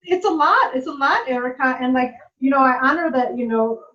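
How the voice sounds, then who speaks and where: A woman's voice: sits high, lively intonation, a little fast, fairly bright tone. A woman guest in a personal conversation, reflective and affirming, filling her speech with "like" and "you know". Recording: muffled lo-fi recording.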